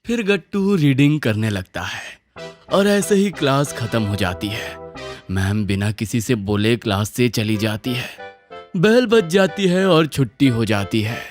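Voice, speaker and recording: A woman's voice: very deep voice, animated, a little slow, darkish tone, soft background music. A woman narrating a scripted children's story.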